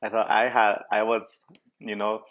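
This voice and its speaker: Halting man chatting casually. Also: lowish voice, completely flat delivery, a bit bright, dull audio. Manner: halting, restarts often, frequent "yeah, yeah". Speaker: podcast host. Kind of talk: casual conversation.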